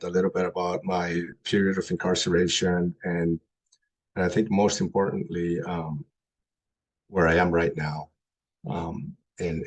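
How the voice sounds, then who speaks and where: A middle-aged man who grew up in Colombia, giving a personal talk as a guest speaker, halting with many pauses and restarts. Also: low-pitched, a little mellow.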